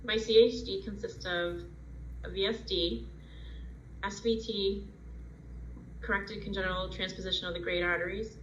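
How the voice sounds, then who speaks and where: A woman's voice: medium pitch, fairly even intonation, moderate pace, pauses often. Woman about thirty, encouraging, echoey room with dull lo-fi sound and faint hum. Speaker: adult with congenital heart disease. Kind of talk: personal testimonial.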